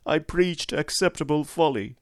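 A man's voice: fairly high pitch, normal speed, no echo, voice pushed up, close to an everyday voice. A man voicing a frantic male curate who rants and preaches doom, crying out wildly. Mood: fervent. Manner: wild ranting with shouted cries.

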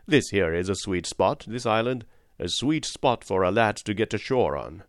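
A man voicing a wily old one-legged pirate cook who talks in a folksy, rough sailor's way. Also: fairly low pitch, lively intonation, normal speed, dry recording, studio quality, natural voice. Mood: wheedling.

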